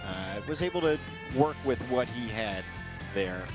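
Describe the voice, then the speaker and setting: A man's voice: mid-range voice, expressive, unhurried, over music. A man with an American accent giving conversational live golf commentary as a color analyst.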